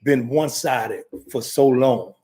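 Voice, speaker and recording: An American man hosts a talk show in a loose, rambling way, circling back and restarting his thoughts. His voice is mid-pitched and ordinary. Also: unhurried, dark tone. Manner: rambling, conversational, many fillers and restarts. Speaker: talk show host, ordained minister. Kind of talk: casual talk show chat.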